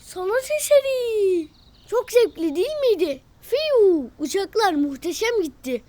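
A woman voicing a small, kind-hearted, eager young cartoon animal in an expressive, childlike way for a children's show. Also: very high voice, measured pace, full character voice. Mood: excited, chatty. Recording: music behind the voice. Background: cartoon music.

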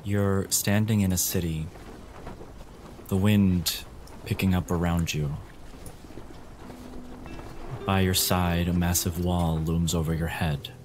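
A man narrating a tabletop game as game master, in a deliberate, dramatic and ominous storytelling style. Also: deep voice, fairly even intonation, background noise. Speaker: game master of a role-playing podcast.

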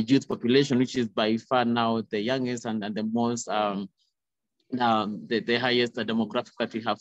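A man's voice: mid-range voice, no room sound. A young man answering as a panelist on a phone video call, repeating words as he builds long points. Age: young adult. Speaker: youth panelist. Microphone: phone at arm's length on video call. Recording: dull, lo-fi video call audio.

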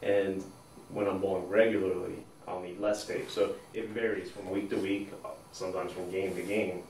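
A man in his thirties co-hosting a bowling show, leading segments in an upbeat, brisk way. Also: low voice, level tone, darkish tone. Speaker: co-host of a bowling advice show. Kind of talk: casual show hosting and chat. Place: indoors, small studio against a plain backdrop. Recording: roomy echo, distant from mic, faint noise.

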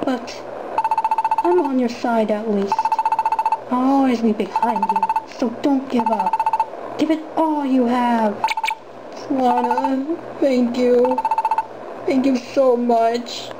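A woman's voice: on the high side, fairly even intonation, takes it slowly, pauses often, very noisy. A woman reading game dialogue as an amateur fan dubber. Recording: loud music over the voice.

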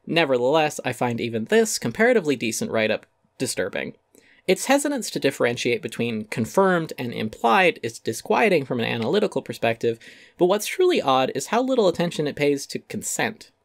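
A man's voice: mid-range voice, very animated, a little fast, dry recording, clean studio sound. A man giving a dramatic reading of a critical essay, wry and articulate with theatrical emphasis. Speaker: essay narrator.

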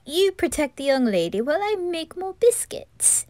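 A woman's voice: high-pitched, big pitch swings, normal speed, darkish tone, no room sound. A woman voicing an eccentric, giggly old male undertaker in a fan audio drama, airy and offhand.

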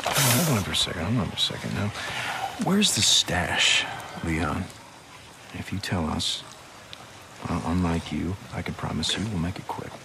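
An actor playing a gruff, menacing outlaw biker with a drawling, sardonic way of talking in a video game cutscene. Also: male voice, sits low, lively intonation, normal speed, long pauses, background noise. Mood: cold, taunting.

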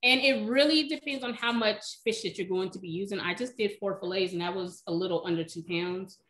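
A woman in her thirties explains her cooking in an easy, conversational way, often saying she eyeballs everything. She speaks over a webcam from a home kitchen, at a middle pitch. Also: brisk, poor audio. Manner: relaxed, chatty, explains by eye. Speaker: cooking demonstrator, recipe blogger. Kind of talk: casual live Q&A. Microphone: video call, webcam.

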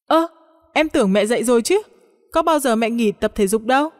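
A woman voicing a calm middle-aged wife and daughter-in-law, gentle and reasoning. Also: a little high, brisk, natural voice. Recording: long hall-like reverb on the voice.

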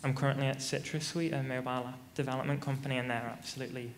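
A man's voice: mid-range voice, flat delivery, racing, slight background noise. A teenage male student on a conference panel, answering questions hesitantly with lots of ums and likes into a handheld microphone. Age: teens. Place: large conference stage. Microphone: handheld mic. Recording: reverberant conference hall.

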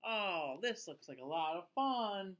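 A man in his thirties reading a picture book aloud to children like a teacher, with an exaggerated, drawn-out delivery. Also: high-pitched, expressive, a bit bright. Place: small indoor room, seated facing camera.

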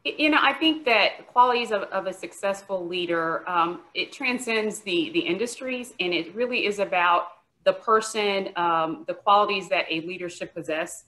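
A woman in her forties from Jacksonville, answering interview questions on a video call in a friendly way with many pauses and fillers. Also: medium pitch, pushed and bright, poor audio. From Jacksonville, Florida. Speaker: guest, business executive. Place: room at home on a video call.

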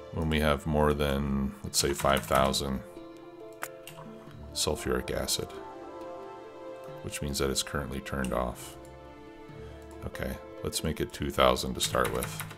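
A man with an extremely low, deep voice talks through a game at an easy pace, stuffed up from a cold. He thinks aloud with plenty of ums and uhs while music plays clearly behind him. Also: a little slow, long pauses. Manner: relaxed, thinking aloud with many fillers. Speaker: let's play host. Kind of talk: casual gameplay commentary. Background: background music and keyboard typing.

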